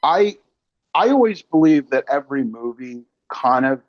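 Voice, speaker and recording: A man's voice: mid-range voice, big pitch swings, moderate pace, dry recording, lo-fi sound. A man hosting a casual film interview, talking emphatically in slang and analogies. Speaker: podcast host and interviewer.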